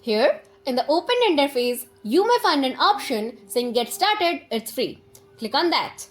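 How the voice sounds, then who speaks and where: High-pitched, lively woman tutorial presenter. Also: a little slow. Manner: instructional, step-by-step, addresses viewer directly. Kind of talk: scripted software tutorial narration.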